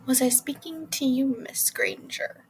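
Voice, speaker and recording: A woman playing a cold, spiteful male schoolteacher in an audio drama, speaking with breathy, deliberate disdain. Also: high voice, steady tone, darkish tone, in a whisper. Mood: menacing. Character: cold sneering male potions teacher, spiteful. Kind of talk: fan audio drama acting.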